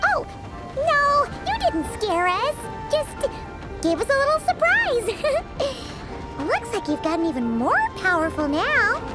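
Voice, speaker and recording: A woman voicing a small, excitable fairy companion girl in a video game, childlike and exclaiming. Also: very high voice, slow pace, loud music behind the voice, full character voice. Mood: chirpy. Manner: childlike, exclaiming, speaks of self in third person.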